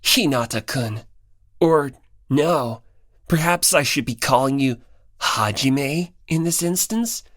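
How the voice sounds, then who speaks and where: Woman as a low, slow, teasing boy. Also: lively intonation, dry recording, clean studio sound, exaggerated voice. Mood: amused, teasing. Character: smiling, polite, teasing teenage boy.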